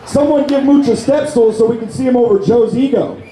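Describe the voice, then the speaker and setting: A man with a hoarse, worn voice, delivering brash, profane insult jokes at a live roast on a convention stage with a handheld mic. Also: very high-pitched, fairly quick. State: hoarse, lost his voice. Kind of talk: live roast comedy set. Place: convention stage before a crowd. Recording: echoey hall, loud crowd noise.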